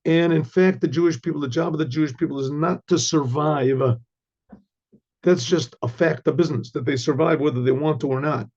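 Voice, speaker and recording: An older hoarse man, a rabbi, giving a Torah class with halting restarts and frequent throat clearing. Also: a little high, darkish tone, muffled recording.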